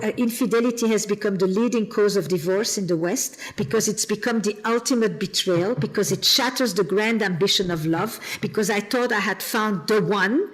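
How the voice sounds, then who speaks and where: A woman's voice: medium pitch, rough recording. Belgian woman, thoughtful podcast guest. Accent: Flemish Belgian. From Flemish part of Belgium. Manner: reflective, repeats words while thinking. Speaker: guest therapist. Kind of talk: podcast interview.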